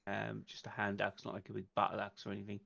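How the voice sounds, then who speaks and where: Male tabletop player, fry-laden medium voice, casual narration, muffled mic. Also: fairly low pitch, completely flat delivery, fast, sharp tone. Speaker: player in a tabletop roleplaying stream.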